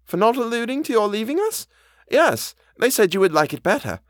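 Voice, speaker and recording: Man voicing a high-pitched, anxious housekeeper woman. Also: sing-song, brisk, dry recording, studio quality. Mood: surprised. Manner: startled, questioning, earnest. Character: kindly, simple, anxious older woman housekeeper. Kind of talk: audiobook character dialogue.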